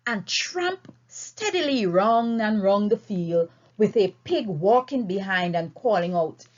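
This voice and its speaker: A woman reading a story aloud in an expressive, deliberate and emphatic way. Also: medium pitch. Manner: emphatic, deliberate phrasing with dramatic swings. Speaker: audiobook reader. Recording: dull, lo-fi recording.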